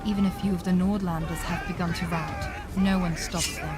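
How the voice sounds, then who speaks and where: A woman's voice: lowish voice, steady tone, a little fast, a loud crowd, heavy background noise. A woman narrating a scripted fantasy audio adventure in a grave, dramatic storytelling manner.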